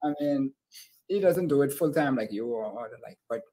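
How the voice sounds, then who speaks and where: A middle-aged Bahamian man hosts a talk show over a video call. He has a mid-pitched voice and rambles casually toward his questions in loose phrases. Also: expressive, moderate pace, crowd noise, poor audio. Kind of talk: casual interview hosting. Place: indoor room with bookshelves and screen, on a video call.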